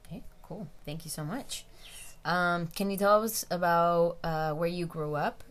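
A woman hosting a podcast, asking questions in a soft, gentle way. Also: lowish voice, steady tone, measured pace. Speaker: podcast host. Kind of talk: interviewing.

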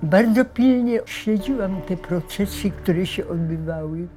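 A woman's voice: medium pitch, normal speed, mellow, faint music behind. An older woman from Poland, recounting her memories in an interview. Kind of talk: recollection in interview.

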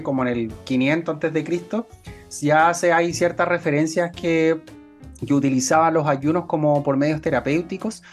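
Laid-back Chilean man, faint guitar behind. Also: fairly high pitch. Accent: Chilean Spanish. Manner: relaxed, hesitant, slangy. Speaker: podcast co-host. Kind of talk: casual chat.

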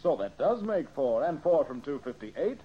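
An actor playing a brisk young amateur detective and host in an old-time radio mystery, methodical and politely exasperated. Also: male voice, fairly high pitch, sing-song, slightly rough. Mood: probing. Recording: dull, hissy old radio recording.

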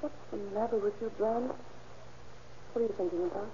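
Female actor for a long-suffering wife, old-time radio drama. Mellow, placating, concerned, on a muffled old recording. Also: fairly high pitch, brisk, slight background noise, lightly acted. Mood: gentle, puzzled. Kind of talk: 1930s radio drama acting.

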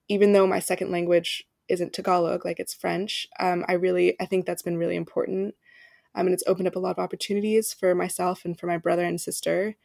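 Young woman with a gravelly voice. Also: on the low side, a little mellow, no room sound, studio quality. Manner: hesitant, many ums and restarts. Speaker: podcast co-host. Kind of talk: casual podcast conversation.

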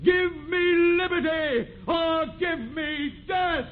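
High, declaiming colonial townswoman, slow. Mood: defiant. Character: indignant, defiant colonial townswoman, rallying the people. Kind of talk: old-time radio drama acting. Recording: tinny, hissy old radio recording.

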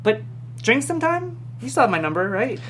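A woman's voice: on the high side, sing-song, brisk, fairly bright tone, slight background noise. A woman playing friendly, awkward male characters in a script table read. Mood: apologetic.

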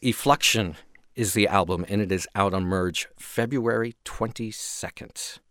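Man, fairly low voice, music show host, explanatory and conversational, very dry studio recording. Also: a little slow, fairly bright tone, clear, resonant tone, dry recording. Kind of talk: casual radio music discussion.

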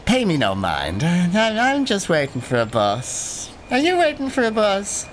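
Slow, musing old Irishman. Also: high voice, huge pitch swings, slight background noise, heavily acted. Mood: gently sympathetic. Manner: rambling, musing, tag questions. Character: gentle, chatty, ghostly old fellow narrating and watching passengers. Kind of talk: audio drama acting.

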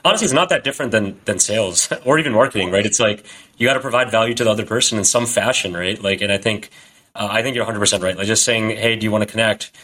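A man hosting a podcast interview, asking questions in a brisk, reassuring manner. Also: on the low side, sharp tone. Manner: brisk, reassuring, quick to laugh. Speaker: podcast host.

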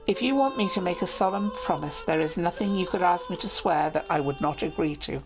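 A woman's voice: fairly low pitch, fairly quick, gravelly, music in the background. Woman playing a shy lovestruck knight. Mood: earnest, pleading. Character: young male knight, brave but lovestruck, shy.

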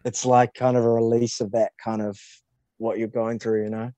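A man, a podcast guest chatting in a relaxed way and often ending sentences with "eh?". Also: medium pitch, normal speed, dry recording, dull audio. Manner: relaxed and easygoing, ends sentences with "eh?". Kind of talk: casual chat.

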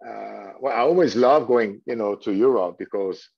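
Swiss guy, middle-aged, french native, halting with tons of fillers, kinda dull lo-fi sound. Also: on the low side, gravelly, slightly rough. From Switzerland. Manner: hesitant, many fillers and restarts. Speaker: hotel general manager. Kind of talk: conversational interview answers.

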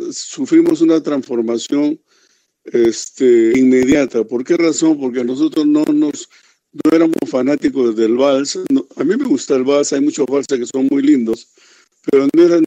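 A man in his seventies with a Peruvian Spanish accent, a rock singer telling stories from his youth in an unhurried, reflective interview. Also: mid-range voice, mellow, no echo. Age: 70s. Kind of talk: reminiscing interview.

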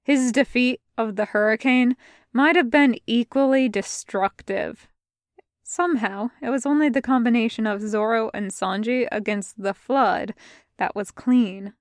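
A young woman reading fan fiction aloud in a soft, measured narration. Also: a little high, no echo, clean studio sound. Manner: soft, measured, hushed in tender passages. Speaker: podfic reader.

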